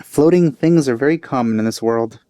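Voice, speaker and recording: A man's voice: medium pitch, moderate pace, dark tone. A young man giving casual let's play commentary in a musing, wry, conversational manner, recorded on a close mic. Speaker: let's player.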